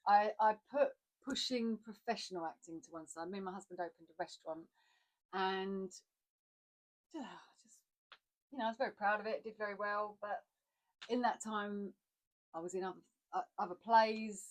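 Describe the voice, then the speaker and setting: A woman talking frankly in a relaxed, conversational way, pausing and restarting as she works through her thoughts. Her voice sits at an ordinary middle pitch. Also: low-quality recording. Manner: candid, reflective, with frequent restarts. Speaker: podcast co-host. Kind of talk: casual chat.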